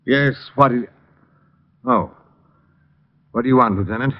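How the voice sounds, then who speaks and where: An actor playing a haughty, indignant lawyer cornered as a murder suspect in an old radio drama, clipped and defensive. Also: male voice, medium pitch, normal speed, natural voice. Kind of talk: 1940s-50s radio crime drama acting. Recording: muffled old radio broadcast.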